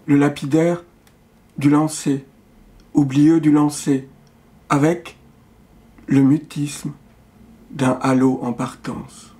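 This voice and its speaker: Guy reading poems, slow and mellow, little phrases w pauses between. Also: fairly high pitch. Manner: measured delivery in short fragmented phrases with pauses. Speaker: poet. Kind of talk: poetry reading.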